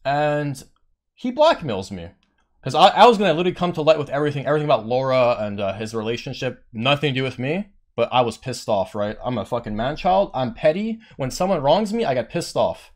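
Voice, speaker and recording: A man streamer in the middle of a heated rant to his chat. He swears a lot and his pitch swings widely as he acts out what others said, mid-pitched and lively. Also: a little fast. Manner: heated, petty, swearing, quotes others in raised voice; says he has a monotone voice.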